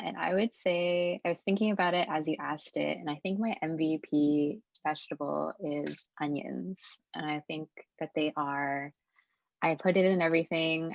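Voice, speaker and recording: A woman with a low voice sharing in a casual video-call check-in, encouraging toward others. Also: fairly even intonation, normal speed, vocal fry, dry recording. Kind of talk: casual online group check-in. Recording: dull lo-fi call audio.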